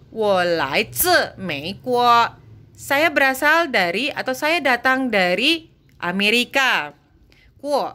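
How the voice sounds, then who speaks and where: A woman teaching Mandarin vocabulary, drawing out model words slowly and correcting herself as she goes. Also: on the high side, bright, forceful tone. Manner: slow, drawn-out model pronunciation, self-correcting. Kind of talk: language lesson explaining vocabulary.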